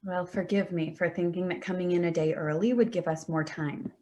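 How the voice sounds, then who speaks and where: A woman's voice: lowish voice, fairly even intonation, a little fast, mellow, natural voice. A woman playing an exasperated, defensive adult daughter in a staged play reading, speaking tersely and bristling.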